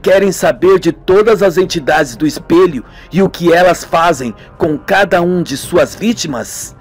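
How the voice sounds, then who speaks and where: A man's voice: high voice, unhurried, slightly rough, full character voice. A man voicing a knowing, eager young man who explains things in an amateur radio soap, with music. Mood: confident.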